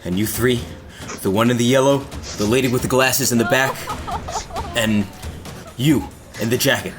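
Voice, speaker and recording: An actor playing an armed prisoner who coolly leads a hostage escape in an audio drama. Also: male voice, mid-range voice, moderate pace, close to an everyday voice. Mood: commanding. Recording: music loud under the voice.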